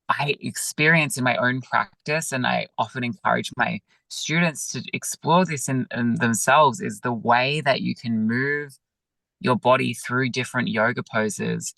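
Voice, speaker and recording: A man, a yoga teacher, talking gently and reflectively over a video call, with many pauses and fillers. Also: medium pitch, fairly even intonation, gravelly, no echo. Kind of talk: reflective interview talk.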